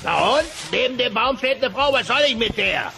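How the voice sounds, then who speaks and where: A man's voice: extremely high pitch, expressive, a little fast, vocal fry, music in the background, exaggerated voice. A man voicing a blustering, condescending dinosaur boss who splutters and stammers in a comedic audio play. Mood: brusque. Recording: noisy old cassette transfer.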